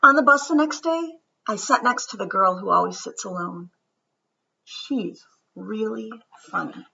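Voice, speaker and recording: A woman reading a picture book aloud as a storyteller for children, with expressive narration and deliberate, dramatic phrasing that drops into hushed softness. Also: mid-range voice, vocal fry, husky, lo-fi sound.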